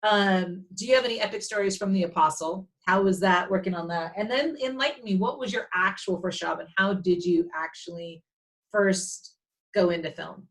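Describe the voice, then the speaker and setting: A woman's voice: mid-range voice, normal speed. A woman interviewing a guest in a casual, playful way over a video call, laughing easily.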